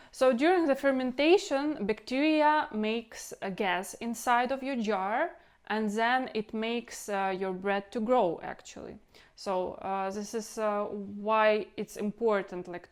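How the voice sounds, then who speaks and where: Clear-voiced woman with a Ukrainian accent. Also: a little high. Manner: rambling, repeats words, non-native phrasing. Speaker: home cooking channel host. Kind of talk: casual instructional vlog talk.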